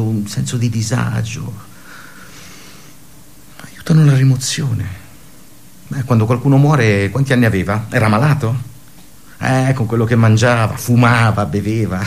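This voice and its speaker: An actor playing a bitterly sardonic office worker in a theatrical radio drama monologue, pensive and pausing. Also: male voice, lowish voice, gravelly, a little mellow, slight background noise. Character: bitterly sardonic male office worker brooding on colleagues' suicides.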